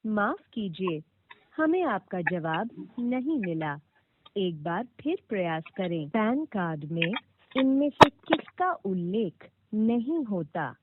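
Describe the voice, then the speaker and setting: A woman reading scripted automated phone prompts with formal, clearly enunciated instructions, heard over a narrow phone line. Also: medium pitch, sing-song, slow, a little hiss or hum. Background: played back from a recorded call.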